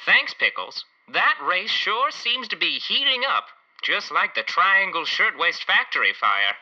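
A chipper old-time radio host played by a man, with a high voice that swoops up and down. He is folksy and showy, very bright in tone, on a dull vintage-style broadcast sound. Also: big pitch swings, measured pace, heavily acted. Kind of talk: 1940s radio broadcast parody.

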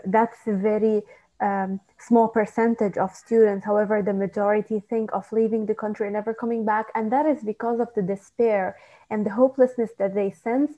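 A woman's voice: medium pitch, warm tone, muffled recording. A woman from Lebanon with a Lebanese accent, a native Arabic speaker, answering interview questions earnestly and with some hesitation over a video call. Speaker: student ministry coordinator.

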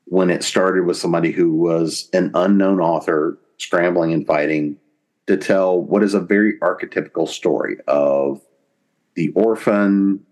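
A man with a deep, low voice speaks as an interview guest. He explains things conversationally and deliberately, pausing mid-sentence as he shapes each thought. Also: darkish tone. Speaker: podcast guest.

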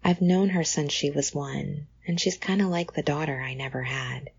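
A middle-aged woman hosting a podcast, reading a scripted introduction in an earnest, measured way. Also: sits low, creaky, husky, highs cut off. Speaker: podcast host.

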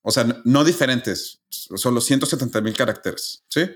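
Podcast host, man, mid-pitched, methodical explainer who restarts phrases. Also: moderate pace, breathy edge. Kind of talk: casual explanatory podcast chat.

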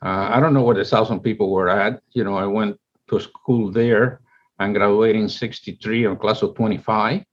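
A man's voice: lowish voice, steady tone, moderate pace. A man in his seventies, born in Cuba, speaking English as an interview guest, telling his life story in a rambling way with many restarts. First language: Spanish. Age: 70s. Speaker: guest, company chief executive. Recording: dull, lo-fi audio.

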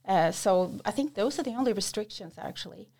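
A woman's voice: medium pitch, animated, fairly quick, darkish tone. A woman working as an academic English tutor, answering interview questions in a hesitant way with many fillers and restarts.

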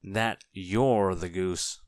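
Man as a storybook farmer, mild, sensible, puzzled, unhurried and deliberate, dry sound. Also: on the low side, animated, fairly bright tone, clean tone, dry recording. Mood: amused.

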